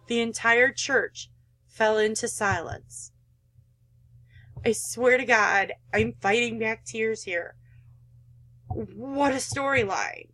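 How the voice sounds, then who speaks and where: A woman's voice: a little high, a bit bright, low-quality recording. A woman hosting a gaming video, reading a visual novel aloud and commenting with emotional, indignant feeling.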